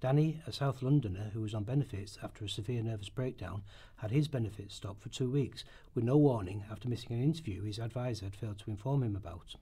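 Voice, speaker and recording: Husky, mellow male narrator. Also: lowish voice, fast talker, hardly any pauses. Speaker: narrator in a radio play. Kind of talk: scripted narration, reading aloud.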